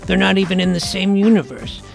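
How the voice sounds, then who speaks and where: Give this an earnest, self-important boy detective played by a man telling his comic mystery in an audio drama. The delivery is deadpan and precise, with a creaky edge to the voice. Music plays behind it. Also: high-pitched, brisk. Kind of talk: first-person scripted narration of a comic mystery.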